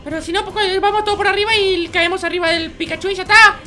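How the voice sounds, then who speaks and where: An excitable gamer with a very high, childlike, cartoonish voice, chatting during Minecraft gameplay and often repeating words rapidly. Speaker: video game player and channel host. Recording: music behind the voice.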